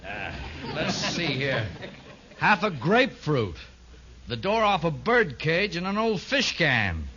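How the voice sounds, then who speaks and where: A man's voice: a little high, big pitch swings, slow pace, pushed and bright, heavily acted. A man playing a suspicious, businesslike insurance investigator in a 1950s radio comedy, speaking formally with dry sarcasm. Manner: crisp, formal, dryly sarcastic. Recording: muffled, faintly noisy 1950s radio recording.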